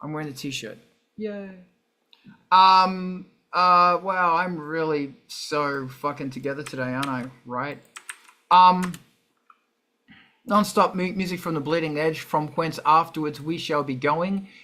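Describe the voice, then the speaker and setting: A man's voice: fairly high pitch, steady tone, measured pace, sharp tone. A man hosting a casual livestream in a sweary, jokey, rambling manner. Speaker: show host.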